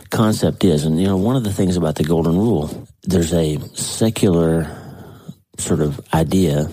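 A man hosting a podcast with a casual, conversational devotional talk, his voice congested and husky. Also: a bass voice, dark tone, dry recording, low-quality recording. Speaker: podcast host.

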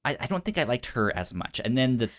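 A man of ordinary pitch talks through films as a casual co-host. He stutters often, repeating first sounds and whole syllables before the word comes out. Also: sing-song, fast. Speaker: podcast co-host. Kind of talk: casual film discussion.

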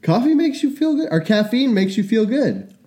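A young man co-hosting a podcast in casual chat, animated and quick to laugh. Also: extremely high pitch. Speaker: podcast co-host.

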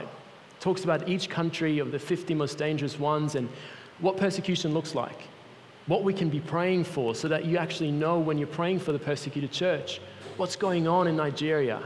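A man's voice: fairly high pitch, slight background noise. A young man with a Swiss accent giving an earnest, personal sermon as a guest speaker for a mission group in a church. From Switzerland. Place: large church hall. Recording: long reverb of a church hall.

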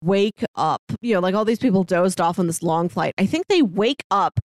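A woman podcast co-host with a mid-range voice, recorded close and dry. She talks casually and retells stories in an animated way, slipping into little imagined quotes as she goes. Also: medium pitch, normal speed, no room sound. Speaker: podcast co-host giving recommendations. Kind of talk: casual chat about films, books and audio dramas.

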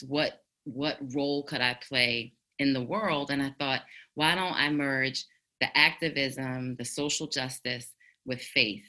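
A woman's voice: a bass voice, bright, forceful tone. A woman from the United States giving reflective interview answers as a guest over an internet call. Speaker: guest, activist blogger.

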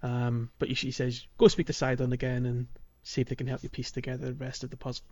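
Guy on a gaming podcast, casual and a bit halting, average pitch, muffled audio. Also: monotone, darkish tone. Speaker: podcast co-host. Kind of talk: casual video game playthrough chat.

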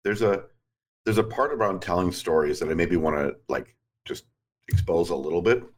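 A man in his forties with a notably deep voice speaks in a loose, reflective way. He rambles and piles in filler words as he works through his thoughts, like a guest in a relaxed podcast chat. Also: moderate pace. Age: 40s. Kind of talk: casual chat.

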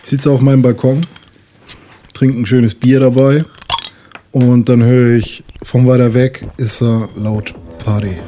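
A man's voice: mid-range voice, little pitch movement, a little slow, mellow, slight background noise. A man casually improvising a first-person story as a player in a tabletop role-playing game.

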